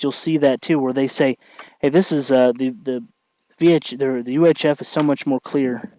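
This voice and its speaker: Higher-voiced man talking through a hands-on tutorial. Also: darkish tone, no echo, low-quality recording. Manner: halting, thinking aloud while working. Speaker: tutorial host, hobby electronics maker. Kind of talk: casual hands-on tutorial talk.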